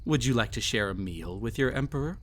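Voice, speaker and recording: A man's voice: mid-range voice, lively intonation, brisk, fairly bright tone, a little hiss or hum. A man playing a cruel, possessive, vain emperor toying with a captive in an intimate audio roleplay, with haughty, teasing, theatrical phrasing. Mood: possessive fondness.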